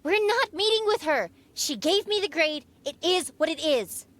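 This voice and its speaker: A woman's voice: very high voice, animated, normal speed, fairly bright tone. A woman playing an assertive, exasperated, strong-willed teenage artist in an audio drama. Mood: exasperated, defiant. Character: strong-willed seventeen-year-old artist girl and her pushy mother.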